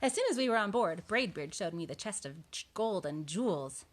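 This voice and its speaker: Expressive woman reading to preschoolers. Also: mid-range voice, normal speed, background noise. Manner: expressive, chatty asides to young listeners. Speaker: preschool teacher reading aloud. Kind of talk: children's storybook read-aloud with asides.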